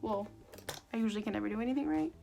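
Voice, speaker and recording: A young woman with a raspy, sore-throated voice, chatting casually and joking at her own expense while she does her makeup. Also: fairly high pitch, rapid-fire, warm tone, lo-fi sound. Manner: self-deprecating, jokey, rambling. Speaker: beauty vlogger.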